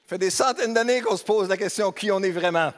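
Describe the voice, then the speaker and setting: Québécois male pastor, high voice, chatty joking sermon, long church reverb. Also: brisk. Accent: Québécois French. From Quebec, Beauce region. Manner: conversational, humorous, asks the congregation rhetorical questions. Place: church hall.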